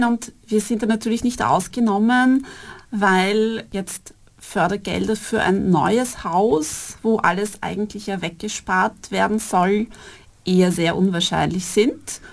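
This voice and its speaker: Middle-aged freelance artist, articulate and composed, mid-range pitch. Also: female voice. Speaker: freelance artist and theater co-founder. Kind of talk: interview answer.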